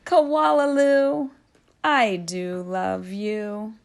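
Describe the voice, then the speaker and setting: A woman's voice: medium pitch, fairly even intonation, drawn-out words, gravelly. A woman voicing a loving mother koala from a storybook, lilting and drawing out her words affectionately. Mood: tender, loving.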